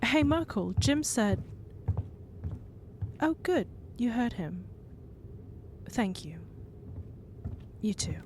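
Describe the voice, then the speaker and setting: An actress playing a caring, teasing and gently bossy young wife in an audio drama. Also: female voice, on the high side, big pitch swings, very slow, pauses often, a little hiss or hum, full character voice. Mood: concerned. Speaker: voice actor.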